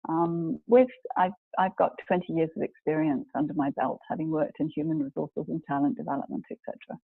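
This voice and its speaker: A woman in her forties from New Zealand, an executive coach telling her story as a guest, measured and pausing often to find her words. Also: low-pitched, expressive, vocal fry, husky, dry recording. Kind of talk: personal storytelling in a live video chat. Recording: tinny, phone-like dry sound.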